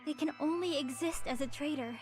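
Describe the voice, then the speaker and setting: A woman playing a gentle, soft-spoken teenage girl in a video game, calm and measured in manner. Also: sits high, close to an everyday voice. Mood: calm insistence. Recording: music under the voice.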